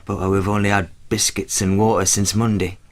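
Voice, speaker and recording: An actor playing a young, worn-down and frightened trench soldier in a radio play. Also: male voice, lowish voice, level tone, normal speed, natural voice. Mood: miserable, subdued.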